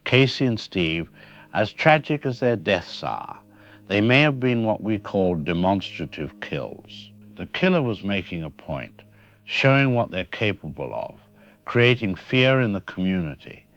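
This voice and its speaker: A man playing a deep-voiced grave professor. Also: very animated, fairly bright tone, exaggerated voice. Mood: calm, grave. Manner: measured, analytical, grave. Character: grave male professor explaining a killer's mind. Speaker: professor interviewed as an expert. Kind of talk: radio drama interview. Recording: dull lo-fi radio sound with faint music.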